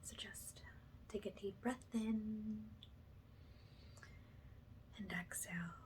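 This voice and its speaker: A woman speaking softly and breathily, often slipping into a whisper, as she gives slow, soothing, halting guidance in an ASMR energy healing style. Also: medium pitch, steady tone, slight background noise.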